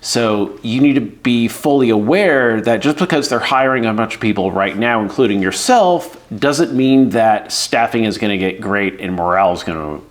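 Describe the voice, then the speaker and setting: A man's voice: on the low side, lively intonation, moderate pace, few pauses. A middle-aged man from the United States, an air traffic controller giving casual, candid career advice with lots of fillers. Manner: conversational, acts out quoted voices, frequent fillers. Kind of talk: casual explainer video.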